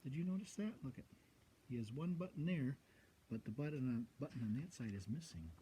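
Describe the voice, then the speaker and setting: Animated, mellow man reading to children. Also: mid-range voice, a little fast, slight background noise, low-quality recording. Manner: expressive, child-directed. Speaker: guest children's story reader. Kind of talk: animated picture-book read-aloud with questions to listeners.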